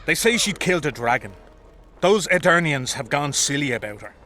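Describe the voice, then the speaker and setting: Casting: combative drinking soldier, fantasy game, sharp forceful voice, coarse common speech. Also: male voice, a little high, sing-song, brisk, soft background music. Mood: scornful. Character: blunt, boozy, grumbling common soldier in a fantasy army. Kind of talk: video game dialogue acting.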